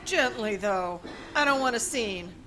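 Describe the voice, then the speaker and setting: An actress playing a fretful, soft-hearted and proper library boss in a radio drama. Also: female voice, a little high, expressive, unhurried, over music, poor audio. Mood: worried.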